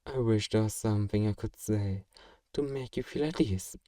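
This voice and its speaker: A genteel, possessive male vampire, played by a man in an ASMR roleplay. His voice is fairly low, dark and relaxed, and he coaxes and soothes with doting politeness. The sound is very dry and intimate, with no room echo. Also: normal speed, natural voice. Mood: gentle, coaxing.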